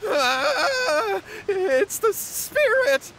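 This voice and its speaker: A man's voice: very high voice, very animated, very slow, fairly bright tone, slight background noise, full character voice. A man playing a nervous, scheming, cowardly young commoner in a video game, hesitant and pleading. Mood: terrified.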